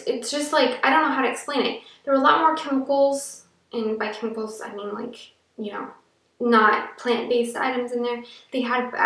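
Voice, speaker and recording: Young woman, froggy voice, chatty beauty vlogger, higher pitch, roomy sound. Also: a bit bright. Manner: chatty, rambling, fillers and restarts. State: froggy voice from allergies. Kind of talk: casual product review to camera. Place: small room indoors. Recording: echoey room, a little far from the mic.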